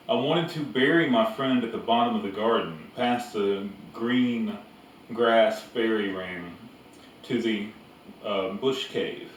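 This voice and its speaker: Very reverberant room, faint background noise, male storybook narrator, deliberate phrasing, pauses. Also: fairly low pitch. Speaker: narrator reading a novel aloud. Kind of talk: storybook read-aloud narration. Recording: echoey room, distant mic, background noise.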